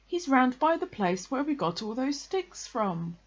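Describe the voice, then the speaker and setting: A woman's voice: high-pitched, very animated, measured pace, muffled recording, pitched above the performer's own voice, full character voice. A woman voicing a friendly, eager, slightly simple male toy bear from a children's storybook. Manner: cheerful, eager, gently puzzled. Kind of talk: children's storybook character reading.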